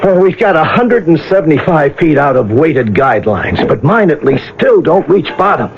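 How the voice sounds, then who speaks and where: A breezy, practical diver with slangy talk and a voice that moves freely up and down. It is radio drama, heard through a muffled vintage recording with faint noise. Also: male voice, on the high side, very animated, normal speed. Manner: slangy, colloquial. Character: brash, wisecracking male diver, breezy and practical.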